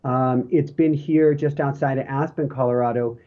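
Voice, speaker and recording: A male podcast host with a hoarse voice, chatting casually and rambling with fillers and restarts. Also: medium pitch, fairly even intonation, moderate pace, phone-quality sound. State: hoarse, coughing from smoke.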